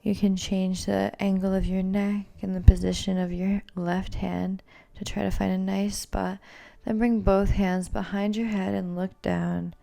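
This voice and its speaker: Slow, low, gravelly-edged woman guiding yoga. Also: faint music behind. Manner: calm, soothing, gently cueing. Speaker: yoga instructor. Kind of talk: guided yoga and meditation instruction.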